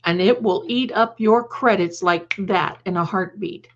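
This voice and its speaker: A woman's voice: medium pitch, unhurried, a little hiss or hum. Female livestream host, mid-range voice, casual and rambling, effusively affectionate with viewers; slightly dull audio. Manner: rambling, repeats phrases for emphasis. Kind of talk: casual live chat with viewers.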